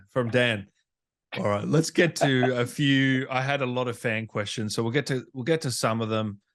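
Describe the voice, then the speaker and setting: A man's voice: mid-range voice, fairly bright tone. A man hosting a casual interview, friendly and agreeable in the way he talks. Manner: friendly, agreeable, quick to laugh. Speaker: interviewer, host.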